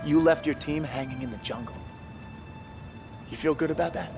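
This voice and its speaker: Terse commander over dramatic music. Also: male voice, on the high side, moderate pace, creaky. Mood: cold, low. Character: tough, driven male special forces commander. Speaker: military squad commander. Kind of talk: video game cutscene voice acting.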